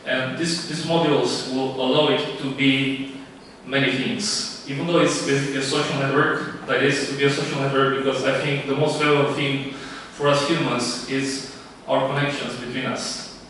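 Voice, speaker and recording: A man from Romania pitching his startup live, halting often with fillers and restarts. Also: flat delivery, a bit bright, a little hiss or hum. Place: event room. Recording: echoey room, distant mic.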